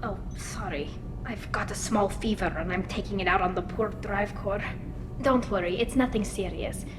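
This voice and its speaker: Woman as an earnest alien engineer amid rumble. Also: normal speed, lightly acted. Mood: reassuring, sheepish. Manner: earnest, chatty explaining, self-deprecating. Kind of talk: video game dialogue.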